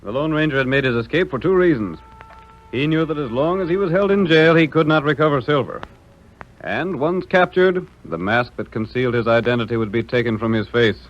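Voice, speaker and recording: An American man performing as a measured, dramatic announcer, narrating an old-time radio Western serial. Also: medium pitch, expressive, close to an everyday voice. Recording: muffled old radio recording.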